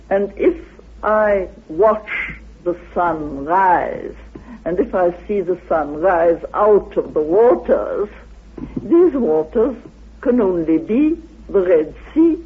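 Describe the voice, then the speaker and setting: A woman speaks slowly and deliberately, lecturing as an interviewed researcher. Her husky voice swings widely in pitch for dramatic emphasis. The old radio recording is narrow and phone-like. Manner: deliberate, dramatic, with rhetorical questions. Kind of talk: spoken lecture-like explanation.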